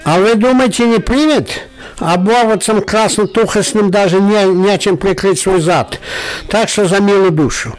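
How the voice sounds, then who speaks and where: A scornful older merchant from Odessa acted by a man, with a very high voice for a male. He is indignant and sarcastic, needling with rhetorical questions in an Odessa accent over background music in a radio play. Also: moderate pace, lightly acted, rough recording. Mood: indignant sarcasm.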